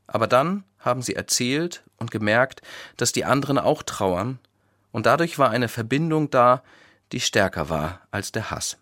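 A male pastor giving a scripted radio reflection, telling his account in measured sentences. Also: medium pitch, fairly bright tone, no echo, studio quality.

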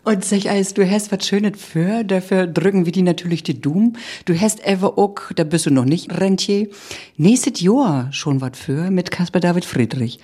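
A middle-aged woman with a North German Low German accent, hosting a conversational radio interview. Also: moderate pace, mellow, slightly rough. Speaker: radio host, reporter.

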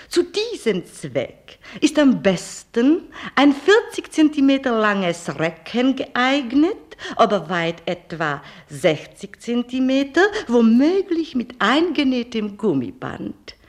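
An earnest society lady in a radio drama. Her voice is fairly high and lilting, and she speaks slowly and primly, as if instructing her listeners in a formal appeal. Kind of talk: scripted appeal in a radio play.

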